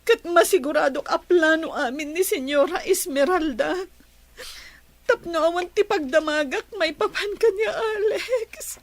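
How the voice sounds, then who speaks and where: A woman's voice: very high-pitched, a little slow, no echo. A woman playing a heartbroken young daughter in a radio drama, plaintive and tearful, with a Filipino accent. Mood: tearful, pleading.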